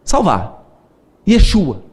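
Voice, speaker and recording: A middle-aged Brazilian man lectures with a high, lively voice that swoops up and down. He is playful, laughs often and acts out the people in his stories. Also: extremely slow, husky. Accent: Brazilian Portuguese. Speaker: spiritist lecturer. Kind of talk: seminar lecture with storytelling.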